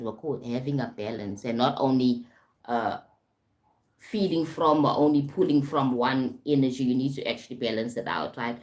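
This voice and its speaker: A woman with a remarkably deep, low voice hosts a casual spiritual live stream. She talks loosely and keeps checking in with her viewers, repeating words as she goes. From South Africa. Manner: repetitive, frequent "right?" check-ins. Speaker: livestream host, spiritual coach.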